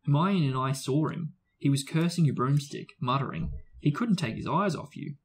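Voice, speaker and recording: A man's voice: a little high, normal speed, lightly acted. A man reading aloud, voicing an eager schoolboy explaining what he saw.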